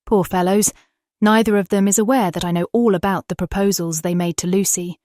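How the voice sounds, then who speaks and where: A woman with a British accent playing a tender young Victorian wife, reading her diary in an earnest, expressive, formal way for a dramatised audiobook. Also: medium pitch, a little fast, dry recording, clean studio sound, natural voice.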